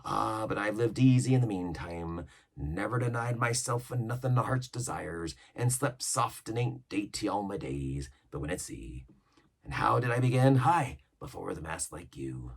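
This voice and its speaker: A man's voice: fairly low pitch, lively intonation, moderate pace, vocal fry, husky, exaggerated voice. A man voicing a scheming older pirate cook who talks in a coaxing, boastful seaman's way. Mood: wheedling.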